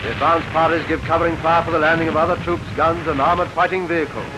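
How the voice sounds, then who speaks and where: A man's voice: on the high side, fairly even intonation, fast talker, background noise. A British man reading scripted wartime newsreel commentary in a rousing, declamatory manner, on a vintage 1940s film soundtrack. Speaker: newsreel narrator. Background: loud newsreel music.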